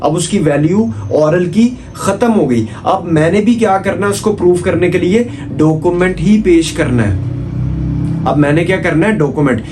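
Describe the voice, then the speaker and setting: A man's voice: sits high, moderate pace, over music. A man with a Pakistani accent giving an informal explanatory law lecture, mixing in English terms and repeating key points in a didactic way.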